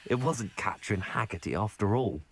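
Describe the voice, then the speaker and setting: An actor playing an earnest, courteous young student hero in a video game, speaking in a measured way. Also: male voice, on the low side, animated, background noise. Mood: musing.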